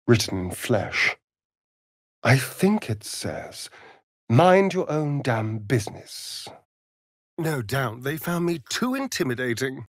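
Theatrical English vampire, wildly swooping intonation. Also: male voice, fairly high pitch, dry recording, clean studio sound, full character voice. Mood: disdainful. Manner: arch, drawling, theatrical, haughty. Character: sardonic, theatrical, aristocratic male vampire spawn rogue. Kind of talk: video game character dialogue.

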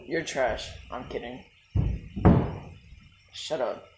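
A man's voice: medium pitch, slow, reverberant, loud music behind the voice, poor audio. A teenage boy in casual voice chat while gaming, bossy and trash-talking. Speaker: gamer.

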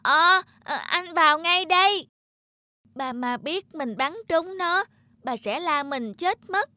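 A woman voicing an anxious young boy, an eager grandson, in a children's cartoon with earnest, expressive delivery. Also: extremely high pitch, slow pace, no room sound, exaggerated voice. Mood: panicked.

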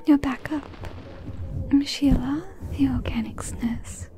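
A woman playing a gentle, caring robot-repair nurse in an ASMR roleplay, with a soft breathy whisper. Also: sits high. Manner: slow, soothing, reassuring.

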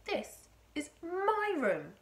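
A woman's voice: very high voice, wildly swooping pitch, extremely slow, pitched above the performer's own voice, heavily acted. A woman voicing a young boy in a storybook, an alarmed grandson who calls out urgently, pleading and exasperated. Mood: exasperated warning. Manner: urgent calling out.

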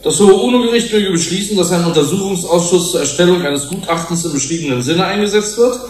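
An actor playing a shrewd, combative scientific manager who addresses a tribunal in a pointed, oratorical way in a German radio drama. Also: male voice, high voice, fast, lightly acted. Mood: self-assured. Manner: oratorical, pointed, self-assured. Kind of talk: formal courtroom speech in German radio drama. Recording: roomy hall echo, faint hiss.

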